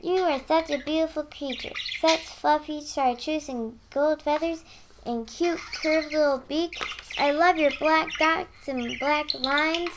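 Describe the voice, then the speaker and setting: A woman's voice: sits high, background noise. A young female performer playing a chatty, worrying little girl in a radio play.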